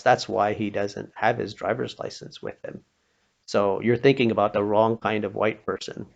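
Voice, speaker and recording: A man telling a story informally, acting out the dialogue, with a halting, pausing delivery and a creaky voice. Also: lowish voice. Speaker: storyteller, pastor. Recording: dull, muffled lo-fi recording.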